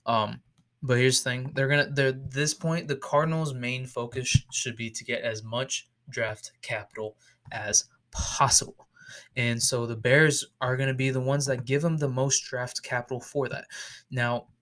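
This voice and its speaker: Young man, sore throat, casual rambling commentary, fillers and restarts, mid pitch. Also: little pitch movement, moderate pace, fairly bright tone. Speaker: YouTube football mock draft host. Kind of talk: casual solo commentary over screen.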